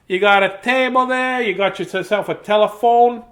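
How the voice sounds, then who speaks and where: A man doing a chirpy high-voiced mouse. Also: normal speed, a bit bright. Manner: sing-song rhyming, tour-guide patter. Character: cheery chatty male mouse showing off a house. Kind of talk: children's storybook read-aloud.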